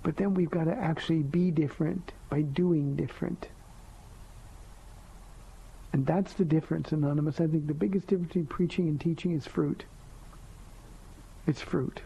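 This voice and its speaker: A soft-spoken man with a gravelly, husky voice, pitched a little high for a man, hosts a live call-in show as a pastor. He answers listener questions gently and earnestly, leaning on slow emphatic phrases. The sound is a dull, lo-fi radio broadcast. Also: steady tone. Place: radio studio.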